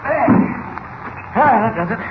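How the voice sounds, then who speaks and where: An actor playing a mild, amiable, evasive newspaper reporter with a hesitant, innocent manner in 1940s radio style. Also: male voice, very high-pitched, huge pitch swings, unhurried, heavily acted. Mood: brisk, confident. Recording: noisy, muffled old radio recording.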